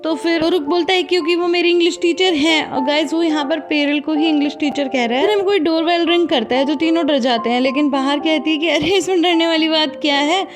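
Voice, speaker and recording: Woman, recap host, bright high voice, chatty and direct with viewers, music bed underneath. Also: steady tone, brisk, hardly any pauses. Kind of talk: conversational plot recap. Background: background music.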